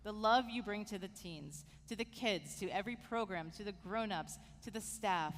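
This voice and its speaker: Woman rabbi speaking in a reverberant sanctuary. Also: mid-range voice. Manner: warm, heartfelt address to an honoree. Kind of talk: sermon tribute to a departing staff member. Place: synagogue sanctuary. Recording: long hall reverb, faint noise.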